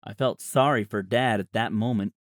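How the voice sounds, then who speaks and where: A man's voice: fairly low pitch, normal speed, no echo, clean studio sound. A man reading a novel aloud as scripted audiobook narration.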